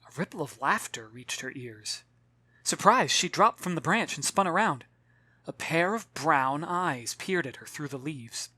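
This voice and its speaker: A man reading his own novel as an expressive audiobook, with dramatic, measured storytelling. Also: on the high side, breathy edge, no room sound. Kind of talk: expressive scripted audiobook narration.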